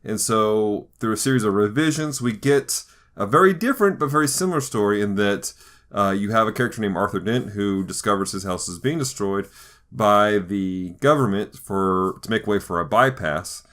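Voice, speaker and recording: A man co-hosting a podcast, explaining things in a halting, conversational way as part of a casual discussion. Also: fairly low pitch, fairly bright tone. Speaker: podcast co-host.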